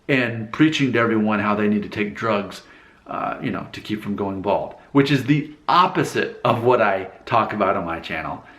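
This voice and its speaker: A man's voice: medium pitch, a bit bright. Candid man talking to camera, echoey room. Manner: candid, emphatic, preachy asides. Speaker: YouTube channel host. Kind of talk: casual talking-to-camera monologue.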